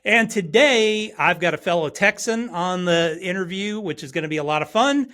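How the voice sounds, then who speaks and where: A man's voice: high-pitched, expressive, normal speed, bright, forceful tone. Friendly podcast host, man. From Hawaii. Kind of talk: podcast interview.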